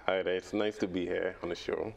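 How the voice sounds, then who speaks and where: Brief: young man, very low and gravelly voice, halting and laughing as a radio guest. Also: level tone, rough recording. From British Virgin Islands. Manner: halting, laughs often, many fillers. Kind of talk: casual radio interview. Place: radio studio.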